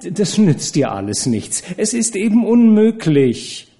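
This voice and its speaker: A man voicing a coquettish young actress who teases and cajoles in a high, airy voice. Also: sing-song, measured pace, mellow, heavily acted. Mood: coolly refusing. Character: coquettish, teasing young woman, a theatre actress.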